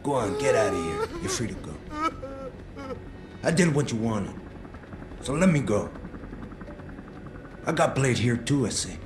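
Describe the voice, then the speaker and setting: An actor playing a cornered, vengeful young hostage-taker in a video game, agitated and swaggering with street talk. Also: male voice, mid-range voice, very animated, measured pace, pauses often, over music. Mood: bitter, resigned. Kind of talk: dramatic video game acting. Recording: echoey room.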